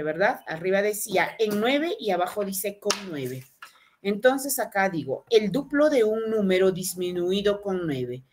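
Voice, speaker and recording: A woman teaching math, explaining step by step and repeating each phrase slowly over a video call. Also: medium pitch, a little mellow. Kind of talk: online class explanation. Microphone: webcam on video call.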